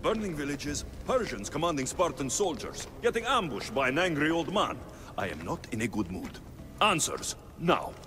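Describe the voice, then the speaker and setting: A man's voice: fairly high pitch, big pitch swings, normal speed, a bit bright, a little hiss or hum. A man playing a blunt, impatient young Greek mercenary in a game cutscene, with a Greek accent. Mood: irritated demand. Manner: blunt, demanding. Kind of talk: dramatic game cutscene acting. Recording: music under the voice.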